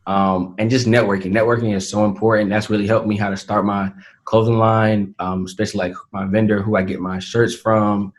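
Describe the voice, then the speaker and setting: An eighteen-year-old man, answering interview questions in an earnest, measured way with many fillers. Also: on the low side, little pitch movement. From Atlanta, Georgia, USA. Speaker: interview guest, young entrepreneur. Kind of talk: casual interview talk.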